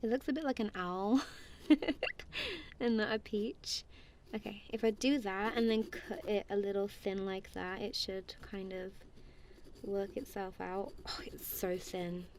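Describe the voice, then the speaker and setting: A woman's voice: medium pitch, a little slow, darkish tone, a little hiss or hum. A woman doing a friendly, goofy ASMR roleplay as casual one-sided chat, playful and giggly, with a self-deprecating, apologetic manner.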